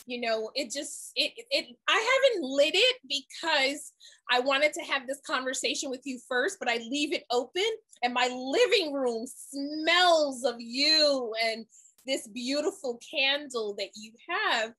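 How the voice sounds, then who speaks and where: A woman's voice: sits high, expressive, a little slow, sharp tone. A woman interviewing a guest, gushing with praise in a casual chat.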